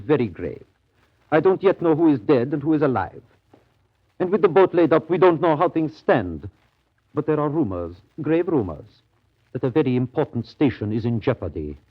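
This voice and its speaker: An actor playing a grave, evasive colonial trading station manager, measured and ominous in a radio drama. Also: male voice, medium pitch, sing-song. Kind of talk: 1930s radio drama acting. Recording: dull, lo-fi 1930s radio recording.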